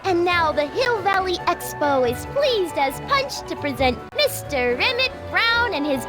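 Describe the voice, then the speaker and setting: Perky female announcer, extremely high voice, animated, showy, game character, loud music behind. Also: measured pace, exaggerated voice.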